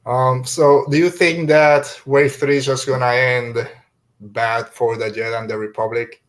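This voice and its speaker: Guy podcast host, average pitch, casual nerdy fan talk, kinda halting and wandering sentences. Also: level tone.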